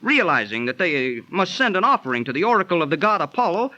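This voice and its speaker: An actor playing a breezy, good-natured know-it-all hobo in a slangy, explanatory 1930s radio drama manner. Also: male voice, high voice, very animated, fast talker, pushed and bright, exaggerated voice. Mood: storytelling. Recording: muffled 1930s radio transcription.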